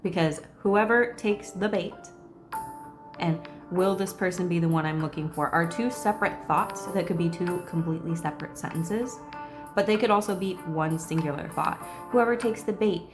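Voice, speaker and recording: Sassy woman with a lowish voice. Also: normal speed, over music. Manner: sassy, blunt, swearing, expressive. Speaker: video creator reacting to a game. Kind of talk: casual commentary with dramatic read-aloud.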